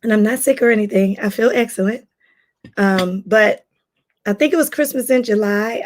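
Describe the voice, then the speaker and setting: A hoarse woman, a life coach, hosting a casual livestream and greeting viewers by name. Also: mid-range voice.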